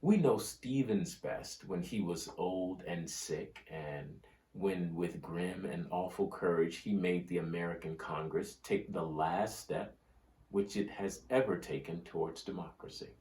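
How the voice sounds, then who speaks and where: A man giving a scripted dramatic reading of history and old oratory in a solemn, measured, oratorical manner with deliberate pauses. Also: deep voice, a little mellow. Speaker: reader of a history book. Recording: roomy, echoing room sound.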